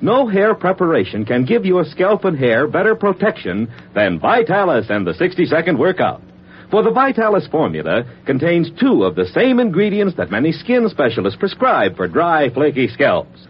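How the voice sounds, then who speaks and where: A man announcing a 1940s radio commercial, addressing the listener in a chatty, direct sales pitch. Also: wildly swooping pitch, moderate pace, a little hiss or hum. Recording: muffled, humming old radio recording.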